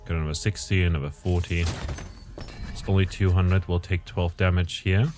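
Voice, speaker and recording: Low-voiced man muttering through gameplay. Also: steady tone, moderate pace, over music. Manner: thinks aloud, muttering calculations and self-corrections. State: voice strained lately. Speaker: gameplay commentator. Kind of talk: casual gameplay commentary.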